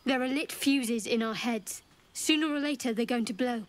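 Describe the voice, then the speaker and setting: A woman's voice: a little high, moderate pace, lightly acted. An actress playing a guarded, practical young woman adventurer in a video game, speaking in a cool, dry manner. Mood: concerned. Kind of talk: video game character acting.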